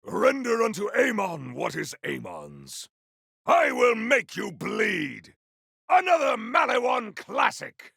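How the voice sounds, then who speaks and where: An actor playing a bombastic, vengeful warrior hero in a video game, declaiming battle cries in a theatrical, archaic, grandiose way with a gravelly, raspy voice. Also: male voice, high-pitched, wildly swooping pitch, slow pace, fairly bright tone, no room sound, shouting, studio quality, exaggerated voice. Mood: grim, brooding. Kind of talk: video game combat barks.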